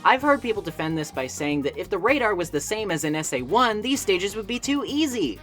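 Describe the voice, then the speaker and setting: A woman's voice: mid-range voice, big pitch swings, a little fast. A woman narrating a scripted video essay as a game reviewer, with a wry, opinionated, conversational manner. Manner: wry, opinionated, conversational asides with mild swearing. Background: background music.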